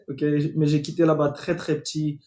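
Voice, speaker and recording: A man's voice: fairly high pitch, monotone, normal speed, warm tone. A young man from Guinea, a podcast guest telling his life story in casual talk, with many fillers and restarts. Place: home in Atlanta. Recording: echoey room over a remote call.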